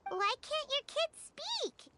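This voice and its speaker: A woman voicing a tiny, excitable fairy companion in a video game, childlike and exclamatory, talking about herself in the third person. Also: very high voice, slow, very noisy, heavily acted. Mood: curious. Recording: loud music behind.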